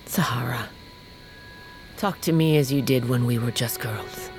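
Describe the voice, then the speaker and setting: A woman's voice: very deep voice, a little slow. An actress playing an aging, dying warrior queen, blunt and regretful, in a fantasy audio drama. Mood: wistful, regretful. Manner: weighty, regretful, rough-tongued. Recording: music underneath.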